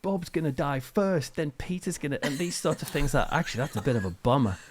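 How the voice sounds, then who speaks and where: A middle-aged British man chatting casually as an interview guest, telling anecdotes in an informal, animated way. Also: fairly high pitch, a little fast, noisy background. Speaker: interview guest, author and reverend.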